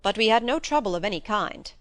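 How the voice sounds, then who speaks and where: A woman's voice: mid-range voice, expressive, quick pace, fairly bright tone, dry recording, lightly acted. Woman putting on an eager young baronet, dry sound. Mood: frank, unworried. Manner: eager, plain-spoken.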